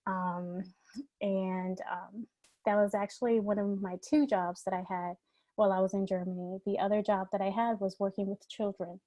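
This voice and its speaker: A woman's voice: mid-range voice, flat delivery, moderate pace, warm tone, dry recording, rough recording. A woman in her thirties, born in Ecuador and raised in New York City, talking in a chatty, friendly way as an alumni panelist and laughing between remarks. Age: 30s. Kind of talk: casual panel talk.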